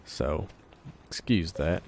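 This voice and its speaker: A man with a congested voice from a cold, giving relaxed let's play commentary, thinking aloud while he plays. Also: deep voice, slow, a little hiss or hum. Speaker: gamer.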